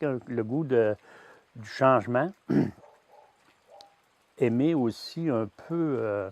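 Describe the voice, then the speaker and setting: A man's voice: medium pitch, darkish tone, lo-fi sound. Hesitant Québécois farmer in his sixties. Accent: Québécois French. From Quebec. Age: 60s. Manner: hesitant, many "euh" fillers and restarts. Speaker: grain-fed veal farmer. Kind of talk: personal testimony.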